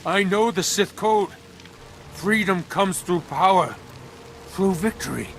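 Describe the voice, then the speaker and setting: A man's voice: high-pitched, lively intonation, slow, creaky, fairly bright tone, soft background music, exaggerated voice. A man playing a fanatical, grandiose leader of murderous escaped slaves in a video game. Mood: fervent. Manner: grandiose, solemn preaching. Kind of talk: dramatic video game voice acting.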